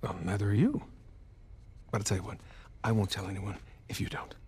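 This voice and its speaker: A man's voice: lowish voice, brisk, breathy edge. An American man in middle age playing a flippant, wisecracking treasure hunter in a video game cutscene. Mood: cool, charming. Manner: sarcastic, flippant. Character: wisecracking adventurous male treasure hunter, cocky under pressure. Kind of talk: video game cinematic acting. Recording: loud music under the voice.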